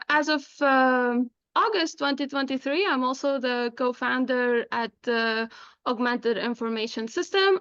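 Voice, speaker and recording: A woman's voice: fairly high pitch, fairly bright tone, clean tone, dry recording, lo-fi sound. A woman from Tunisia, giving an informal online presentation as a guest speaker. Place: indoors, online video call.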